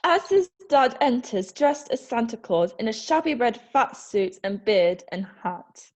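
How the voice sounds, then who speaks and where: A woman's voice: fairly high pitch, big pitch swings, a little slow, a little mellow. A woman reading the stage directions aloud in a plain, matter-of-fact way at an amateur online play reading.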